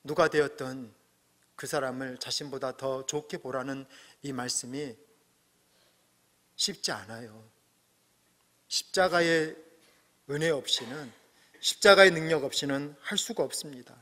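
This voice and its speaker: Male pastor, middle-aged, bright tone, church hall reverb; earnest, swings between emphatic peaks and soft asides. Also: mid-range voice, a little slow, long pauses. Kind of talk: sermon. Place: church sanctuary.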